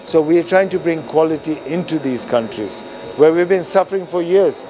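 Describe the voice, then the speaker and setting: A man's voice: fairly high pitch, moderate pace, rough recording. A man, a property developer, speaking in sweeping visionary terms in an interview soundbite. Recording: crowd noise behind.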